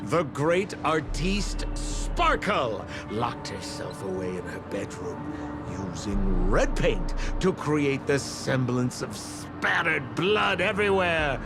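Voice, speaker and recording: A man playing a suave, flattering rogue in a video game dub, smooth-talking, theatrical and deliberate. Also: mid-range voice. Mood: dramatic reveal. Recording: music behind. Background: music.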